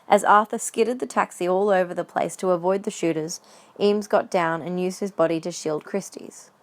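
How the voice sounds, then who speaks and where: Lowish-voiced woman narrating a story. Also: fairly even intonation, moderate pace.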